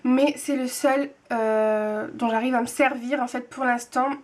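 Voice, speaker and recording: Chatty high-voiced young woman vlogger. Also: steady tone, unhurried. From France. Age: 20s. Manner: chatty, hesitant, many fillers and restarts. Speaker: booktube vlogger, nurse. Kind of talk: casual vlog answering tag questions.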